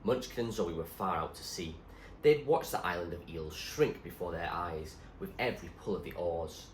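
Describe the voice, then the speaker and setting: A man reading a story aloud as a teacher would, in expressive storybook narration. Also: low voice, moderate pace. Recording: roomy echo, faint background noise.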